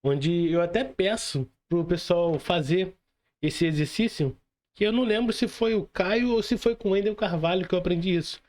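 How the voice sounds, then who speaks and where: A man's voice: sits high, normal speed, a little mellow. A Brazilian man hosting a podcast in a casual, chatty style. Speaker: podcast host. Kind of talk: casual chat.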